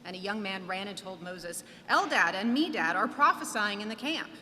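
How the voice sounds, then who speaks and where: A woman's voice: mid-range voice, animated, normal speed, sharp tone. A woman narrating a scripture reading expressively in church. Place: large church indoors. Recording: reverberant church, audible noise.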